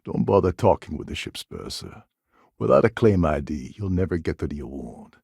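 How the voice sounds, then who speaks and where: Sardonic space pirate, deep gravelly voice, world-weary, impatient, video game dialogue, close dry recording. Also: male voice, very animated, normal speed, a little mellow, dry recording, heavily acted. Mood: sly, measured. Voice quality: gravelly, husky low voice. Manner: wry, sarcastic, world-weary. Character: gruff, sardonic space pirate con man, scheming and impatient.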